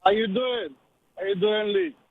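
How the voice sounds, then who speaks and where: A man from Bulgaria with a Bulgarian accent, calling a radio show and telling a story in halting non-native English. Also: very high-pitched, sing-song, gravelly, no room sound. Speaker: caller. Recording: tinny phone line.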